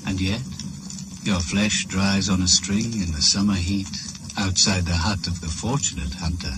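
The deep, slow voice of an ancient shaman, chanting solemn invocations to the spirits in an old radio drama. Heavy hiss sits under the recording. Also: steady tone. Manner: solemn, incantatory, ritual chanting. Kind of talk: 1980s BBC radio play acting.

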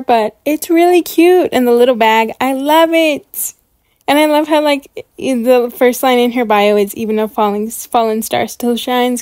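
Female reaction host, high voice, bubbly and chatty, full of enthusiasm, dry sound. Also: no echo. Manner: bubbly, gushing, excited. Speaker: YouTube host reviewing channels. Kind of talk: casual reaction commentary.

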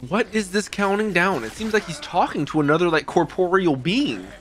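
A young man reacting out loud to an anime episode, exclaiming and swearing casually into a boom mic in a home streaming room. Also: animated, moderate pace, a little hiss or hum. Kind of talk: excited reaction commentary. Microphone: boom mic with headphones. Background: anime soundtrack playing.

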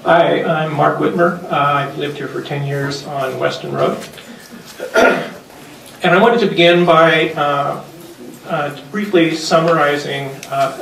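An older man reads from notes at a podium, earnest and hesitant, with many small fillers. His voice is fairly high for a man. It echoes around a hall and sounds distant, with faint noise behind. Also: creaky, a bit bright. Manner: earnest, with frequent ums and uhs while reading notes. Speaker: resident giving public comment. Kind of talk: public hearing statement, partly read. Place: community center hall, indoors. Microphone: podium mic from a distance.

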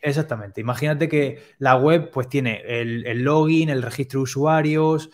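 A man's voice: mid-range voice, a little mellow. Young man explaining on a video call. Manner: plain, step-by-step explanations with quick asides. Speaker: web development teacher, guest expert. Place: home room. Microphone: video call, headset.

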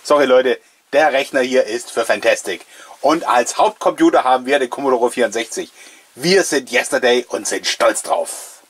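A man's voice: medium pitch, normal speed, a bit bright, breathy edge. A man, giving chatty, playful, nostalgic commentary as the host of a casual video show. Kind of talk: casual, jokey commentary on an old mail-order catalogue.

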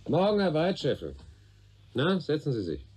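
An actor playing a patient senior police detective in a German radio play, speaking in a dry, probing manner. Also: male voice, a little high, huge pitch swings, moderate pace, background noise. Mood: businesslike.

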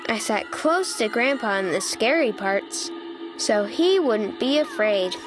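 A woman narrating a children's storybook in an animated, childlike way, as an eager young critter telling the story. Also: fairly high pitch, unhurried, music in the background, low-quality recording. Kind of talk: children's read-aloud narration.

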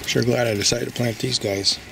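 A man's voice: medium pitch, fairly even intonation, a little fast, vocal fry. A man giving a casual walkthrough of his backyard garden, talking in a rambling, folksy way as he thinks aloud. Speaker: home gardener. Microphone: handheld phone camera. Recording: faint outdoor background noise.

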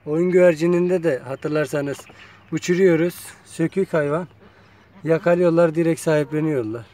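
A man's voice: on the high side, normal speed, dark tone. A man talking casually to his viewers while filming his chickens in an outdoor yard on a phone camera, chatty and coaxing. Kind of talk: casual vlog talk to viewers.

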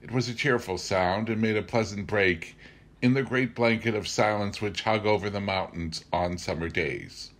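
A middle-aged man narrating a memoir as a scripted reading, with measured, deliberate phrasing. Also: on the low side, a bit bright. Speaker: amateur audiobook reader.

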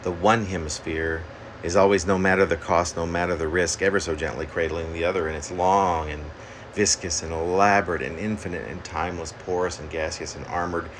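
A man reading his own poem aloud, haltingly, repeating phrases like an incantation, recorded on a phone in a room at home. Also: deep voice, fairly even intonation, background noise. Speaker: poet reading own work. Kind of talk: poetry reading. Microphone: phone at arm's length.

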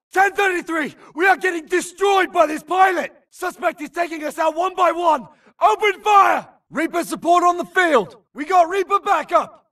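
An actor playing an urgent, alert infantry grunt in a video game, shouting clipped military callouts in battle. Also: male voice, very high voice, distant mic, heavily acted. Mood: alarmed. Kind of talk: shouted combat barks.

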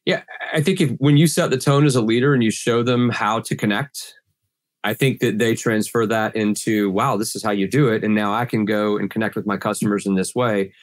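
A man's voice: on the low side, fairly even intonation, brisk, no room sound, clean studio sound. A middle-aged man with a slightly scratchy voice, answering earnestly in a video-call podcast interview with many restarts. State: scratchy voice from pollen. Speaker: guest sales trainer.

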